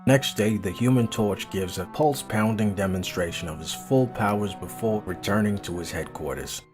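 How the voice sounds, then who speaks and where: Low-voiced man narrating a comic. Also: normal speed. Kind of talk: dramatic scripted comic book narration. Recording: music behind the voice.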